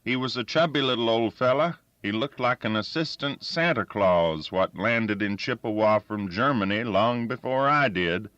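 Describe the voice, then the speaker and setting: A man's voice: fairly low pitch, normal speed, few pauses, a bit bright, no echo, muffled recording, voice pushed up, lightly acted. A man voicing a crafty old backwoods storyteller in a folksy rural dialect. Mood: gleeful. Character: crafty old backwoods male yarn-spinner.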